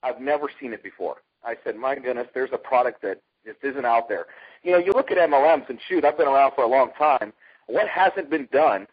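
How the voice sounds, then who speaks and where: An American man, middle-aged, giving an informal, emphatic sales pitch as a network marketer, telling stories and asking rhetorical questions. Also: brisk, breathy edge. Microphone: telephone conference call. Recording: muffled, dull conference phone line.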